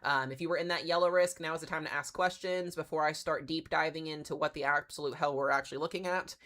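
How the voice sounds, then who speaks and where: Fast, bright-voiced congested man. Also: on the high side. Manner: excitable, chatty, filler-heavy. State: congested, sick with strep throat. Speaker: weather livestream host. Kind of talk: casual live weather briefing.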